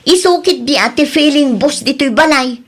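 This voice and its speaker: A woman's voice: high-pitched, moderate pace, natural voice. A woman playing a quarrelsome, indignant young wife in a radio drama, complaining and confrontational. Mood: aggrieved. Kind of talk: Ilocano radio drama acting.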